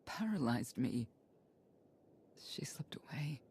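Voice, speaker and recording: A woman's voice: rumbling low, very animated, slow pace, darkish tone, slight background noise, full character voice. An actress playing a solemn older mother in a video game, regretful yet resolute. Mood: grave regret. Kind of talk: dramatic game cutscene acting.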